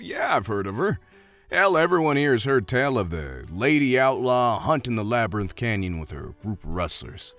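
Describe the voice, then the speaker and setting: A chatty saloon bartender of the Old West, speaks. His pitch moves around a lot as he talks in a folksy, soft-hearted, slightly halting way, as in an audio drama with faint music underneath. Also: full character voice. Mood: curious, surprised. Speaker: voice actor. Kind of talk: audio roleplay acting addressed to the listener.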